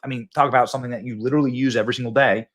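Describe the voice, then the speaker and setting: A man hosting a live political talk show from a phone, excitable and rambling, often repeating himself. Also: medium pitch, racing. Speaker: host.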